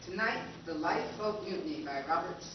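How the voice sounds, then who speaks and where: A man's voice: high voice, a little fast, far from the mic, natural voice. A man playing a dramatic science fiction radio announcer in grand, declamatory 1950s style. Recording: echoey, noisy, dull stage recording.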